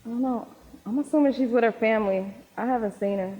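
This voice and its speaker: A woman acting as an affectionate teenage girl, a new high school graduate, in a live stage play. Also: fast, narrow, tinny audio, close to an everyday voice. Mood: subdued, sincere. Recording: reverberant hall, live stage recording.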